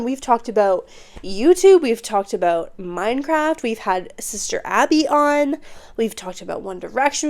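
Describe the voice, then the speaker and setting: A woman's voice: on the high side, smooth. A young woman hosting her own podcast, chatty and bubbly, sounding congested while getting over an illness. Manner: bubbly, chatty, uses slang and filler words. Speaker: podcast host. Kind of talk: casual solo podcast chat. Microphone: podcast microphone.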